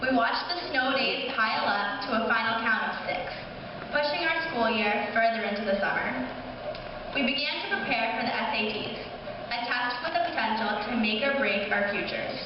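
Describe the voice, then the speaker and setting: A young woman, a high school class officer, reading a scripted speech aloud at a school ceremony. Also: lively intonation, normal speed. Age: young adult. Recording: distant echoey hall, muffled, noisy.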